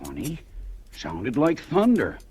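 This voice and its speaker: An actor playing a fussy older factory worker with a gravelly voice who complains in a folksy way, in a 1940s radio drama. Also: male voice, mid-range voice, wildly swooping pitch, slow pace, darkish tone, slight background noise. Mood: puzzled.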